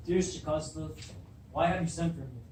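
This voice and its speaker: A man plays a proud, troubled king in a classical tragedy, commanding and declamatory. His voice sits a bit high, caught from a distance over faint noise. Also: fairly quick, darkish tone, natural voice. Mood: concerned. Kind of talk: beginning drama class readers theatre. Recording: echoey room, distant mic, faint noise.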